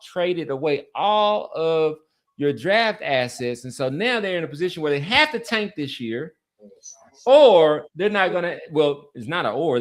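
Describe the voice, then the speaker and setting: A man talking sports casually as a podcast panelist, argumentative and often repeating his points. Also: on the high side.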